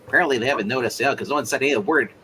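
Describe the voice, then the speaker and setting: A man in casual tabletop game chat, narrating in a rambling, joking, animated way. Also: mid-range voice, racing, a little hiss or hum. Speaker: player narrating in a roleplay game.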